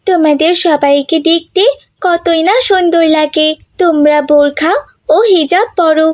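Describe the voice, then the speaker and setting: A woman's voice: sits high, dry recording, clean studio sound. A woman voicing a friendly, sincere schoolgirl in a cartoon, explaining herself earnestly and with admiration. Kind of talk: cartoon voice acting.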